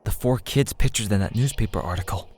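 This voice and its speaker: A man's voice: lowish voice, brisk, a little mellow, slightly rough. A man voicing a blunt, brash teenage schoolboy in an anime-style game dub. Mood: puzzled.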